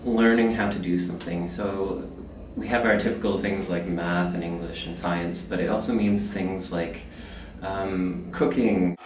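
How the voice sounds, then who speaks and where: A man's voice: sits low, steady tone, unhurried, few pauses, a little mellow, roomy sound, recorded from a distance, slight background noise, rough recording. A man reflecting on his school years in a casual interview, speaking hesitantly. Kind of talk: casual recollection.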